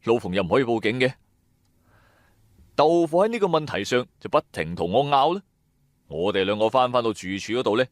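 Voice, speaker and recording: A man tells a story in Cantonese-accented speech, theatrical and colloquial. His pitch moves up and down freely as he narrates an audio drama, with music underneath. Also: no echo, clean studio sound.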